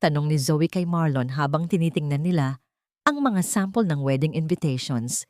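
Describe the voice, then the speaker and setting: Woman narrating a romance drama story, kinda low voice, lots of up and down, very dry close sound. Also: animated, moderate pace, a little mellow, no echo.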